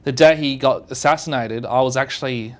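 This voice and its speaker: A man's voice: medium pitch, brisk. An Australian man from South Australia hosting a casual podcast interview. He rambles, using lots of fillers and restarting his sentences. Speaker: podcast host.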